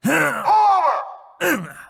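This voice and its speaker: A menacing fighter from a video game. He barks in a harsh, high-pitched, gravelly voice that rings through a noisy, reverberant space. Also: sing-song, extremely slow, fairly bright tone, exaggerated voice. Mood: furious shouting. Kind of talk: video game cutscene acting. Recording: reverberant hall with some noise.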